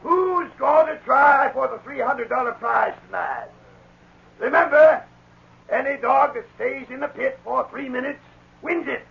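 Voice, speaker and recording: An actor playing a brash medicine show pitchman with loud, folksy, theatrical sales patter in a 1940s radio drama. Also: unhurried, vocal fry, full character voice. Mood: brisk, commanding. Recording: muffled 1940s radio recording.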